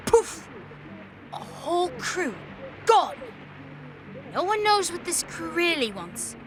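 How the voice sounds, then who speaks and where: A woman's voice: very high-pitched, big pitch swings, slow, background noise, exaggerated voice. A woman voicing a young orphan boy, a protective and stubborn older brother, in an audio drama. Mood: ominous storytelling.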